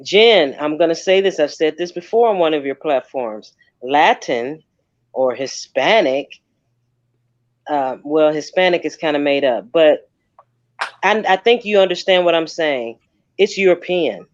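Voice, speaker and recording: Deliberate, lecturing man on a dry, dull line. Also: a little high, animated, no room sound. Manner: deliberate, lecturing, repeats himself. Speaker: panel guest. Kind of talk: panel discussion.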